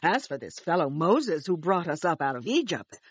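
A woman's voice: fairly low pitch, sing-song, normal speed, slightly rough, dry recording, clean studio sound. A woman playing an insistent, restless Israelite in a dramatized scripture production, declaiming to others. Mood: impatient, demanding. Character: one of the Israelite people, a restless woman demanding gods.

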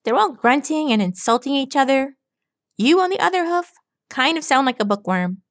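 Brash young pegasus mare, high and lively voice, teasing slangy talk, dry close sound, a woman reading her part. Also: normal speed, no room sound. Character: brash, cocky young female pegasus pony.

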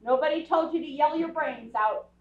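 A woman's voice: high voice, moderate pace, close to an everyday voice. A woman playing a talkative, nagging, unbothered wife in old-time radio comedy. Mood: chatty. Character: chattering, unbothered wife of a crabby husband. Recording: roomy stage recording, distant muffled mic.

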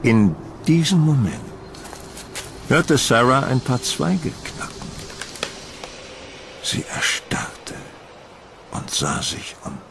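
A man narrating a horror audio drama in a dramatic, deliberate storytelling manner, as a brooding gothic storyteller. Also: mid-range voice, gravelly, slight background noise, exaggerated voice.

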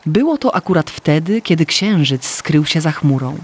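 Higher-pitched man narrating a fairy tale. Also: animated, normal speed, slightly rough. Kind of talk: scripted fairy-tale storytelling. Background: faint music.

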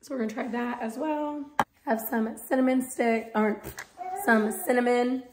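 Hoarse, congested young woman vlogging. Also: fairly high pitch, fairly even intonation, moderate pace, crowd noise. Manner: chatty, rambling with many fillers. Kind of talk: casual vlog chat. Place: home kitchen.

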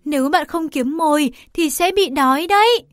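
A woman narrating a scripted children's story in a careful, clearly phrased read-aloud manner. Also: sits high, normal speed, dry recording, studio quality.

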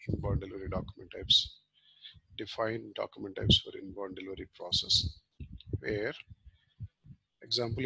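A man giving an informal screen-share software tutorial as a trainer, explaining step by step in halting short phrases. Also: on the low side, gravelly, husky, no echo, low-quality recording.

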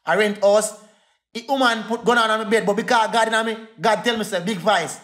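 A man's voice: high-pitched, fairly even intonation, a bit bright. A man with a Jamaican Patois accent ranting angrily on a phone livestream, repeating insults over and over. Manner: repetitive, insulting, fast heated rant. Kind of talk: angry livestream rant.

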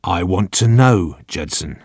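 Man playing a slow, low, cunning old man. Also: gravelly, no room sound, clean studio sound. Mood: gentle, coaxing. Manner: slow, deliberate speech. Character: calm, cunning, commanding old man, quietly menacing.

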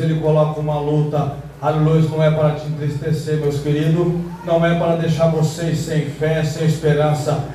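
High-pitched, fervent Brazilian preacher in echoing church. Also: male voice. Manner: impassioned, exclamatory, punctuated with hallelujahs. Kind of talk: fervent Pentecostal sermon. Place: indoor church hall, at the pulpit before a congregation. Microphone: handheld microphone, heard through far camera. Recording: echoey church PA from far off, music behind.

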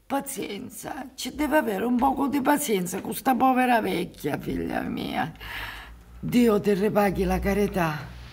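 A woman's voice: mid-range voice, animated, unhurried, darkish tone, a little hiss or hum. An older woman playing a poor, stubborn old Sicilian mother in a radio drama, pleading plaintively in a Sicilian accent. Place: theatre stage set up for radio recording.

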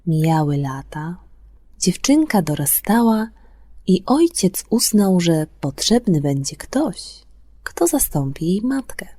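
A woman hosting a children's bedtime radio show, presenting and telling stories in an expressive way for young listeners. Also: fairly low pitch, breathy edge, dry recording. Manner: expressive, drawn-out delivery for children. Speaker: radio host and storyteller. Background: music.

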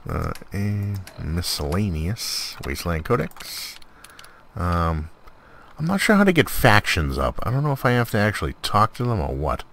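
A man's voice: fairly low pitch, very animated, moderate pace, fairly bright tone, a little hiss or hum. A man hosting a gameplay video with casual, laid-back, rambling commentary. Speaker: video host, mod reviewer.

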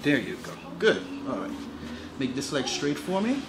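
A man's voice: fairly high pitch, big pitch swings, normal speed. A man in his thirties, a chiropractor giving casual, reassuring, coaxing instructions to a patient in an indoor treatment room. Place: treatment studio with hard floor, indoors. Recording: clearly noisy room.

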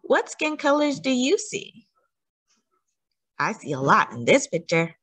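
A woman reading a children's picture book aloud as a story time host, with deliberate, teacherly emphasis and questions to young listeners. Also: on the high side, very animated, a bit bright, slightly rough.

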